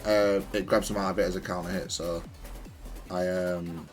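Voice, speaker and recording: A man's voice: low-pitched, little pitch movement, moderate pace, over music. A man giving off-the-cuff commentary on his own fighting game replays, explaining things in a hesitant, stop-start way. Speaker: gamer analysing own matches.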